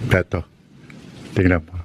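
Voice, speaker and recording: An actor playing a sentimental, nostalgic man in a Tagalog radio drama, speaking in a wistful, unhurried way. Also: slight background noise, lightly acted. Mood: tender, casual. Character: sentimental man treasuring a faded old photograph.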